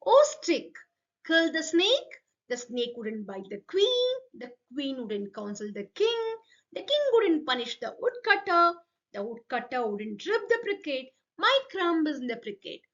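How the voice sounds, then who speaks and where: A woman's voice: sits high, sing-song, unhurried, a bit bright, muffled recording, full character voice. A woman voicing a small female sparrow in a children's folk tale, persistently pleading in a plaintive, repetitive chant. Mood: pleading, insistent.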